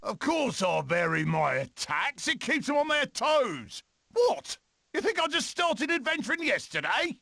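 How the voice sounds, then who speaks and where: A high, gravelly, wildly swooping British voice for a swashbuckling weasel adventurer in a cartoon video game. He is boastful and eccentric, delivering each line with theatrical relish and stretching his words out. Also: very animated, measured pace, bright, forceful tone, no room sound, clean studio sound, full character voice. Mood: cocky, excitable. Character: manic, swashbuckling one-eyed weasel adventurer with a whip. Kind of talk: animated video game character voice clips.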